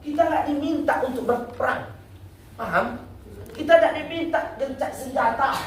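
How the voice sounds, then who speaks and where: A man preaching a lively mosque sermon, putting questions to the congregation and answering them himself. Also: very high voice, normal speed. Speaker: Islamic preacher. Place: mosque prayer room. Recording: echoey room, distant mic, faint noise.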